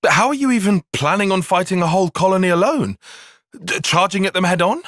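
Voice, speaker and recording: Expressive, precise young strategist soldier. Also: male voice, high-pitched, fast talker, no room sound, studio quality. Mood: exasperated. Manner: precise, formal, analytical wording. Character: young male strategist soldier, cerebral, earnest, quick to exasperation. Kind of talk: video game cutscene voice acting.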